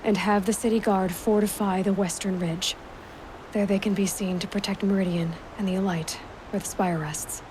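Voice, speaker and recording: A woman's voice: medium pitch, steady tone, normal speed, noisy background, natural voice. A woman playing a determined, plain-spoken young warrior in a video game, talking directly. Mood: grave, urgent. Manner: direct, resolute, unadorned. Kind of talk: video game cutscene acting.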